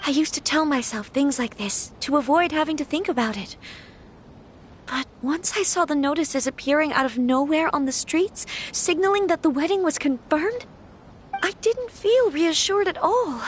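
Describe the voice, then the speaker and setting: An actress playing a gentle young noblewoman in an anime fantasy game, troubled yet firm, with soft, earnest, formal phrasing. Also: high voice, lively intonation, moderate pace, a little hiss or hum. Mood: troubled, reflective.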